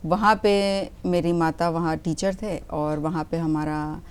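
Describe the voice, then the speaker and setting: A woman in her forties from Gujarat, a retired army officer speaking as a guest in a broadcast interview, measured, with many pauses and English phrases mixed in. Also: deep voice, steady tone, darkish tone, clean tone. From Gujarat, India.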